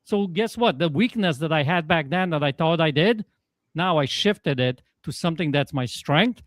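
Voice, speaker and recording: A man's voice: a little high, animated, moderate pace, a bit bright, no room sound, clean studio sound. A man with a Polish accent, a coach talking as a guest in a casual interview, emphatic and fond of rhetorical "guess what" questions. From Poland.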